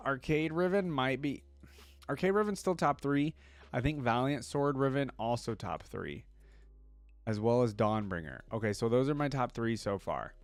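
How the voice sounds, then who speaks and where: A man's voice: lowish voice, dry recording. A man giving casual, slangy reaction commentary as a video host, thinking aloud as he goes.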